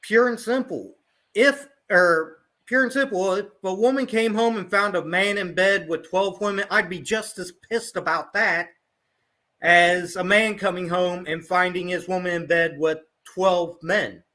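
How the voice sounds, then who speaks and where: A man's voice: high-pitched, unhurried, pushed and bright. A man from Ohio, a guest on an online panel talk, arguing bluntly and often repeating his points. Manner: blunt, repeats "pure and simple".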